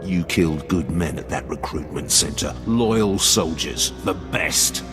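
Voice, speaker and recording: Grim, deep-voiced Imperial commander. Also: male voice, unhurried, music in the background. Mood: bitter accusation. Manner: scornful, grim. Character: vengeful male Imperial commander, bitter and contemptuous. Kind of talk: video game cutscene acting.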